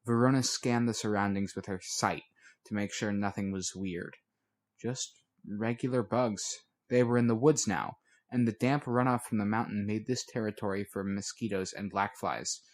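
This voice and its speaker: A man's voice: fairly low pitch, steady tone, moderate pace. Male audiobook narrator, scripted fiction.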